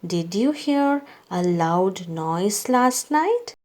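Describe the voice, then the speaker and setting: A woman reading a children's story aloud, acting out its dialogue in a deliberate way meant for young listeners. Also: mid-range voice, expressive, darkish tone.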